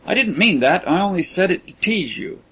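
Storybook role: proud, earnest small-town boy read by a male narrator, courteous and simple, higher-pitched, husky edge. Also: lively intonation, brisk, fairly bright tone, heavily acted. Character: earnest, proud provincial teenage boy in love.

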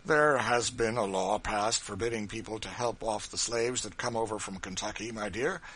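Male reader as calm reasoning husband, bright and a little husky. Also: fairly low pitch, moderate pace. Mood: placating. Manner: soothing, reasoning, placating. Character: mild, patient husband and senator. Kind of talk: audiobook character reading.

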